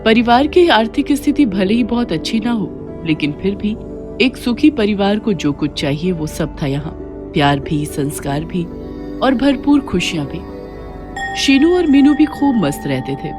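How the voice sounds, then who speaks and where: A woman's voice: mid-range voice, very animated, unhurried, music in the background. A woman narrating a scripted moral story for children as a storyteller.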